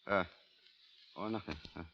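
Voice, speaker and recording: An actor playing a shifty, nervous, subservient saloon lackey, hesitant and mumbling, in 1930s radio style. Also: male voice, low-pitched, moderate pace. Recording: muffled, hissy old radio recording.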